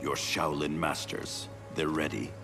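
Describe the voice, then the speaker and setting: Very deep, gravelly, stern warrior. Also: male voice, fairly even intonation, measured pace, heavily acted. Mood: stern, wary. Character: stern, distrustful male warrior ally. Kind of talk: dramatic video game cutscene acting. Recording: loud music behind the voice.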